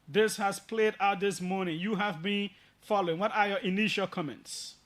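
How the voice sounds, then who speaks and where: A man hosts a current affairs talk show on the radio. His voice sits high for a man. The sound is dry, with no room around it, as he talks and reads statements aloud. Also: fairly even intonation, normal speed, a bit bright, dry recording.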